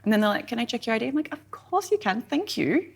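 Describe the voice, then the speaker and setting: A woman, chatting casually as a podcast co-host in a playful, excitable way. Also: fairly high pitch, fast talker, husky. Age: early 30s. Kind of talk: casual banter.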